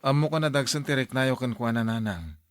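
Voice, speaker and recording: A man's voice: medium pitch, lively intonation, brisk, no echo, lightly acted. A man playing a frank adult son who questions and reproaches his mother in an Ilocano radio drama, with an Ilocano accent. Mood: pleading.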